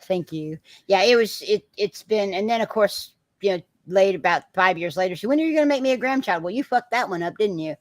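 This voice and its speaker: A woman's voice: mid-range voice, animated, a little fast, few pauses, dry recording. A woman, chatting candidly on a group live stream and laughing often. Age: 60s. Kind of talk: casual group video chat.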